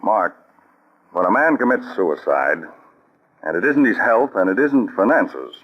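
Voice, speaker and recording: A shrewd, commanding counterspy chief with a low, steady voice. He talks in a calm, deliberate way, coming through a dull, lo-fi old radio recording. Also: slightly rough, soft background music, exaggerated voice. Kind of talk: 1940s radio acting.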